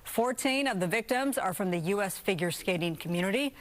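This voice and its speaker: A woman's voice: medium pitch, expressive, fairly quick. A woman with an American accent, working as a news anchor and reading in a scripted newscast style.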